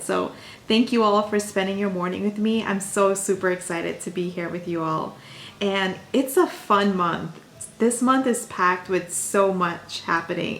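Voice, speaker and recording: A woman's voice: medium pitch, slight background noise. A woman from Guyana hosting her own channel, talking to viewers in a casual, upbeat, chatty way with small fillers. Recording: echoey room.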